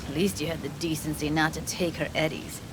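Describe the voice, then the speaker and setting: Game sidekick, female actor: young, hotheaded, slangy and cynical, slightly low voice over city ambience. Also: little pitch movement, fairly quick, background noise, lightly acted. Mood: angry. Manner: blunt, slangy, sweary. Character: hotheaded, street-tough young woman, cynical but loyal. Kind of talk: video game cutscene acting, naturalistic dialogue.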